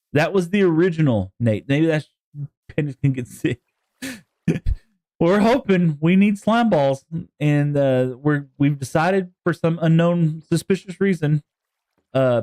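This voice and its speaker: A man's voice: a little high, a little mellow, no room sound. Rambling Texan man streaming. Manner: rambling, jokey, talks to chat. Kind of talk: live-stream gaming chat.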